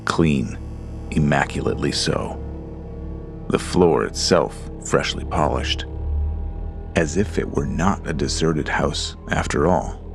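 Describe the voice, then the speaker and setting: A man narrating a scripted horror story in a measured, ominous way. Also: extremely low pitch, vocal fry. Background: creepy music.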